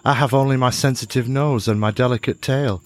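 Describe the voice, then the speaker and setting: Man voicing a timid furry she-beast, high and pleading. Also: fairly even intonation, a little fast, natural voice. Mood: self-pitying. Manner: trembling, pleading.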